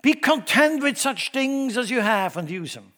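A middle-aged man with a Swiss German accent, preaching a sermon in church, emphatic and shifting from loud to hushed. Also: extremely high pitch, sing-song, normal speed. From Switzerland. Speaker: pastor.

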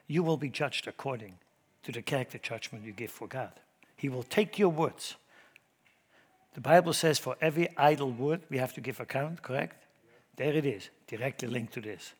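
A middle-aged man with a Swiss German accent, preaching a sermon in church, emphatic and shifting from loud to hushed. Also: mid-range voice, moderate pace, husky. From Switzerland. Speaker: pastor.

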